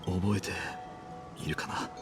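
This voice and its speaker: A man voicing a solemn, resigned young hero in a dramatic game scene, softly and with breathy phrase endings. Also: fairly quick, natural voice. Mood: solemn, resolute. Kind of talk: dramatic Japanese game voice acting. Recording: game music under the dialogue.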